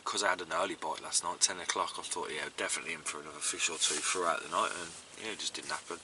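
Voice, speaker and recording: Deep-voiced tired man by a lake. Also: fast talker, noisy background. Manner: relaxed, chatty, with many fillers. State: congested and tired. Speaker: fishing vlogger. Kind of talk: casual outdoor chat to camera. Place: outdoors at a lakeside.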